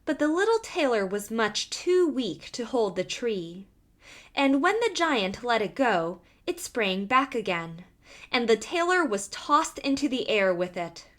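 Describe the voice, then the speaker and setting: A woman narrates a scripted fairy tale in a highish voice. Her pitch moves up and down expressively, and she takes her time over each phrase. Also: big pitch swings, unhurried. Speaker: storyteller.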